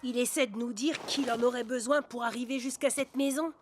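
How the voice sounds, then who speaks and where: A sensible older cartoon child, played by a woman, talking in a high voice. The kid is brisk and a little bossy, explaining things plainly. Music runs clearly behind. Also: fairly even intonation, lightly acted. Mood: practical, worried. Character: practical, slightly bossy older child sibling. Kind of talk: French-dubbed children's cartoon acting.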